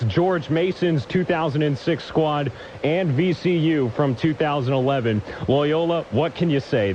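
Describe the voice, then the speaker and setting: A man's voice: a little high, darkish tone, highs cut off, a little hiss or hum. A man reading a scripted sports update as a broadcaster, with polished, punchy announcer delivery.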